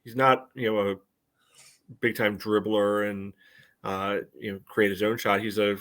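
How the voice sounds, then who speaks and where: A man's voice: lowish voice, little pitch movement, measured pace, fairly bright tone. A man co-hosting a soccer podcast, giving casual, conversational match analysis with plenty of qualifications.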